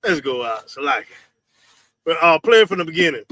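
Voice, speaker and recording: A man hosting a live stream, reacting to a video with loud, profane, slangy preaching and asking "you understand?" again and again. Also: on the high side, very animated, a little fast. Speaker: host of a street preaching talk stream. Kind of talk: casual commentary reacting to a video.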